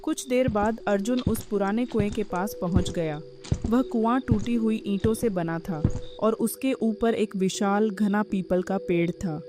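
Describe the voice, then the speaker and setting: A woman's voice: mid-range voice, measured pace, over music. A woman giving scripted narration of a horror story as its narrator.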